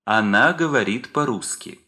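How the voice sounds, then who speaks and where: Bright-voiced man reading drills. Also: mid-range voice, animated. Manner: slow, deliberate, clearly articulated. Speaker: course speaker. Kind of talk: clear language-drill reading.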